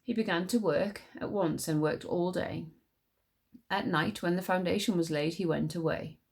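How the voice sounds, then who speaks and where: A woman reading a story aloud as a teacher would, in an expressive storybook narration. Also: on the low side, normal speed, vocal fry, husky.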